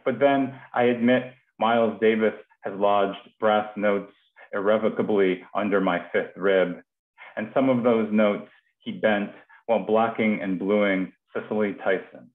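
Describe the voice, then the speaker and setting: A man's voice: fairly low pitch, fairly even intonation. An American man, a poet and host in his thirties or forties, speaking thoughtfully over a video call from a kitchen. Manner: halting, thoughtful, repeats words. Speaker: poet and host of a reading series. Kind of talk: informal hosting and poetry reading. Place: small domestic kitchen, indoors. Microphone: headset on a video call. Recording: narrowband, roomy video-call audio.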